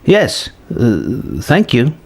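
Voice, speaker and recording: A man voicing an earnest, awestruck young woman apprentice who speaks haltingly in a space-adventure story. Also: medium pitch, very animated, a little mellow, husky, voice pushed up, full character voice. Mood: halting, urgent.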